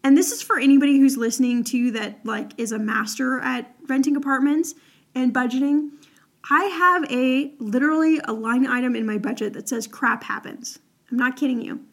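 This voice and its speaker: A woman's voice: high voice, moderate pace, gravelly. A young woman hosting an advice podcast in a chatty, upbeat way, her voice a little crackly from the early morning. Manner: chatty, upbeat, lots of "like" and "all right?". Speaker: podcast host.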